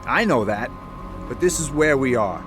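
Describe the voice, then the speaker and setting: An actor playing a blunt, stubborn, profane sea captain and treasure hunter in an audio drama. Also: male voice, mid-range voice, lively intonation, normal speed, over music, natural voice. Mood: grimly insistent. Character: gruff, stubborn, hot-tempered male boat captain and treasure hunter. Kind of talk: full-cast audio drama acting.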